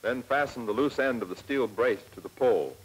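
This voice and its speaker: An American man narrating a 1940s military instructional film in a plain, measured, step-by-step manner, on an old film soundtrack. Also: mid-range voice, husky, rough recording. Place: scripted voice-over for film.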